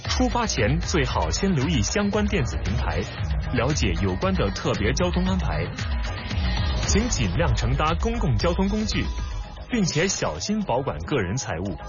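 A man's voice: fairly high pitch, big pitch swings, normal speed, muffled recording, music in the background. A man announcer reading a public service announcement in an earnest, instructive tone.

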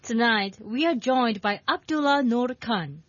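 A woman's voice: fairly high pitch, expressive, a little slow, dry recording. A woman from Malaysia, co-hosting a chat show and asking curious questions. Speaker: co-host. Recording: dull, dry audio.

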